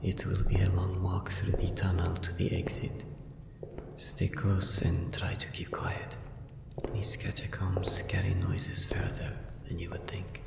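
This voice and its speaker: A man playing a brooding young vampire noble in an ASMR fantasy roleplay, hushed and gravelly, with measured, formal phrasing. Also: low voice, steady tone, heavy background noise, heavily acted. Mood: hushed, guarded. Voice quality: gravelly, breathy at times. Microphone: close microphone.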